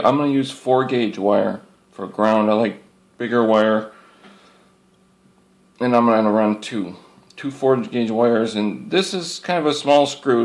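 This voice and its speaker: A man giving a casual, rambling product show-and-tell in a folksy, plainspoken way, often repeating his phrases. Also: mid-range voice. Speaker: hobbyist presenter showing radio antenna gear.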